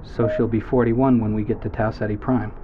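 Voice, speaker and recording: A man's voice: fairly low pitch, flat delivery, a little fast, muffled recording, background noise, lightly acted. An actor playing an awkward, literal-minded young man on a spaceship crew who stammers when nervous.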